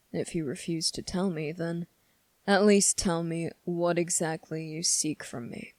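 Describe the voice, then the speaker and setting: A sardonic demon, played by an ASMR performer, speaks in a slow, gravelly drawl. The delivery is deliberate and condescending, with menacing pauses. The sound is dry and intimate, as in an audio roleplay. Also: medium pitch, a little mellow, no room sound. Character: sardonic, menacing demon weighing a summoner's revenge plea. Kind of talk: dramatic ASMR roleplay monologue.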